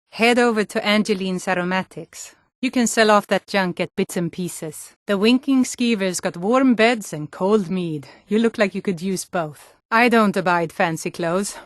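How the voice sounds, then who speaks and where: A woman acting sturdy fantasy-game Nord townswoman, medium pitch, plain-spoken, measured delivery, very dry sound. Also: no echo, natural voice. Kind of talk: scripted game dialogue.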